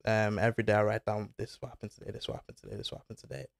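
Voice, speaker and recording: A man's voice: lowish voice, little pitch movement, racing, vocal fry, no room sound, studio quality. A young man, talking casually as a podcast guest, laid-back and full of slang. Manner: laid-back slang-filled talk, says bro and innit. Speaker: guest footballer. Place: small studio with foam panels. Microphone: desk mic on arm.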